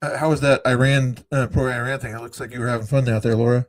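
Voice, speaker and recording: A man's voice: mid-range voice, fairly even intonation, quick pace. A man hosting a live stream, chatting in a laid-back way and speaking to his viewers. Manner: laid-back, talks to the chat. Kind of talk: casual live-stream chat. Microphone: streaming microphone.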